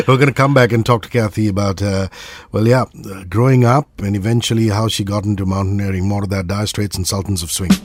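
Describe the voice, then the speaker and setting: A man's voice: lowish voice, fairly even intonation, fairly quick, slight background noise. A man hosting a morning radio show, chatty and joking with his guest. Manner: jokey, chatty, laughs often. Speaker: radio host. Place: radio studio.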